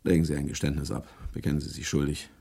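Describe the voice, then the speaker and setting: An actor playing a shrewd, hard-boiled district attorney in a radio crime play, interrogating in a needling, sardonic manner. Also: male voice, a bass voice, little pitch movement, fast talker, gravelly, dark tone, husky, heavily acted. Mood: smugly pressing.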